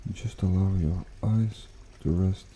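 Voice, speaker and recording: A man hosting an ASMR guided meditation in a hushed, unhurried, soothing way with gentle pauses. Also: low voice, steady tone, breathy edge. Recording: water trickling behind the voice. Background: pond water bubbling and trickling.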